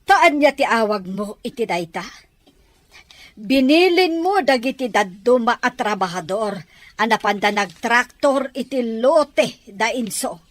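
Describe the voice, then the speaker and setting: A woman's voice: fairly high pitch, animated, a little slow, no echo. A woman with an Ilocano accent playing an exasperated, reproachful wife in an audio drama. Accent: Ilocano, from the Philippines. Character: exasperated, reproachful wife pleading with her husband.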